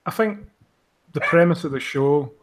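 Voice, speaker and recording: A man answering casually as a creator guest on a podcast, in a measured, matter-of-fact manner. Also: on the high side. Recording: clearly noisy recording with clicks.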